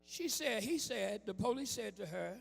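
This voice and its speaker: A hoarse man preaching an impassioned sermon to a men's gathering, calling for responses and shouting his points. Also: sits high, expressive, normal speed, big-room sound. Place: church hall with music behind.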